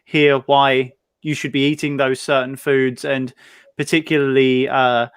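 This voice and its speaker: A young man hosts a casual online interview, sounding enthusiastic and chatty. His voice is smooth and resonant, and the sound is dry and close. He talks at a middle pitch, with plenty of fillers and quick restarts. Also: flat delivery, a bit bright, dry recording. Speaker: host. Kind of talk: casual video-call interview. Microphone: video call.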